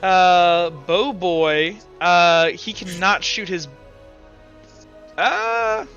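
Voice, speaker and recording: A man serves as game master, improvising the action of a tabletop game and thinking aloud as he goes. His voice is fairly high and forward, bright in tone. Faint music plays under a slightly dull recording. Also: drawn-out words.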